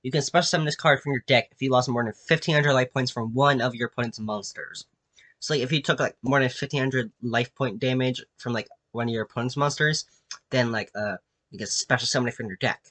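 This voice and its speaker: A man's voice: medium pitch, brisk, a bit bright, no echo. A man with a nasal voice, sick with a cold, casually rambling through explanations full of fillers and restarts. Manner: filler-heavy, many restarts and "like"s. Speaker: YouTube creator presenting his own card designs.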